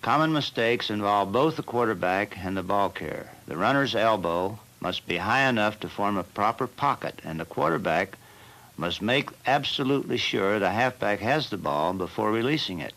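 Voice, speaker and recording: A man narrating a football training film as the team's coach, in a measured and plain instructional manner. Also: lowish voice, animated, a bit bright, rough recording.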